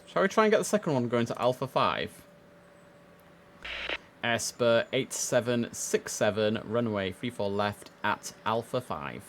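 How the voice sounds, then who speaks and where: A man's voice: medium pitch, lively intonation, a little slow, a bit bright. A man giving casual live-stream commentary as he plays air traffic controller, easygoing and half talking to himself, slipping into clipped controller phraseology.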